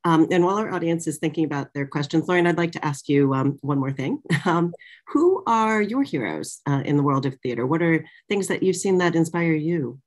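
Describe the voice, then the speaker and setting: A woman's voice: low voice, expressive, fairly quick. A middle-aged woman hosting a conversational interview over a video call from a small office. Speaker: interviewer, moderator.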